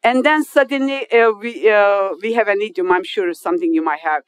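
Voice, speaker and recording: A Turkish woman in her fifties with a Turkish accent, a non-native English speaker giving a formal speech to an audience. She speaks haltingly, with many hesitations, restarts and loose grammar. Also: medium pitch, clear, resonant tone, no echo, studio quality. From Turkey. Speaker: guest speaker at an inauguration.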